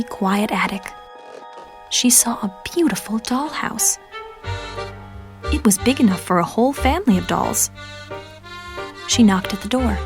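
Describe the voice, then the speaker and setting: A woman narrating a children's storybook in an expressive, measured read-aloud manner. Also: fairly high pitch. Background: music.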